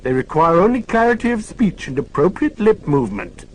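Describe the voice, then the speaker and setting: A woman's voice: mid-range voice, big pitch swings, fairly quick, a little hiss or hum, pitched above the performer's own voice. A man reading an audiobook, voicing a deaf former head nurse in her eighties who talks in a precise, lecturing way. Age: 80s.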